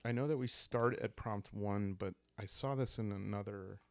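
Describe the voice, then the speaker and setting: A man calmly narrating a solo roleplaying game in an ASMR style, soft and halting as he thinks aloud. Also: fairly low pitch, dry recording. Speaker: host and solo player of a journaling roleplaying game.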